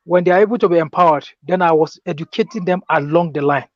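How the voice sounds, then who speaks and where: A man's voice: fairly high pitch, fast, no room sound. A man with a Nigerian accent speaking as a guest in a political discussion, insistently explaining his experience. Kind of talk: political discussion, personal account. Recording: dull, dry lo-fi call audio.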